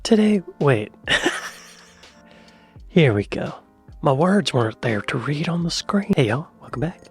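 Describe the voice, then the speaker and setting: A husky, soft-spoken American man chats casually as he reacts to a video. He muses aloud, trails off and often repeats himself. Faint music sits under his voice. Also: a little high, sing-song, takes it slowly. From United States. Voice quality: soft quiet voice.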